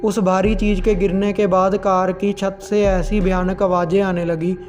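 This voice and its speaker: Casting: male horror narrator with a high-set voice and very even delivery. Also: unhurried, faint music behind. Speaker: horror story narrator and channel host. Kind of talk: scripted narration of horror stories.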